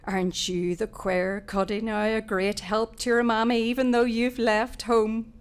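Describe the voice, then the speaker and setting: A woman's voice: on the high side, little pitch movement, unhurried, clean tone, pitched above the performer's own voice. A woman voicing a nosy, scrounging older female neighbour in a story reading, falsely sweet and wheedling, with a Northern Irish accent. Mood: gushing, wheedling. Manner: gushing, wheedling, gossipy.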